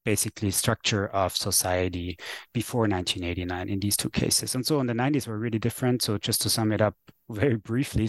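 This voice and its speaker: Austrian guy, german native, kinda low voice, lots of ums and restarts, dry mic. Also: dry recording. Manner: thoughtful, many fillers and restarts. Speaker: guest author, sociologist. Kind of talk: podcast interview answers.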